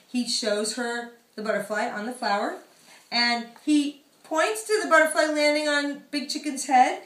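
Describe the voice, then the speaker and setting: A woman, an author reading her picture book aloud in an animated storytelling style. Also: high-pitched. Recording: echoey room, distant microphone.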